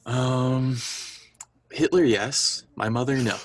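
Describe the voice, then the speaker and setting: A man's voice: medium pitch, slow pace, dry recording. A young man playing an exasperated, evasive boyfriend in a contemporary radio drama, defensive and bantering. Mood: wry. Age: young adult. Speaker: student voice actor.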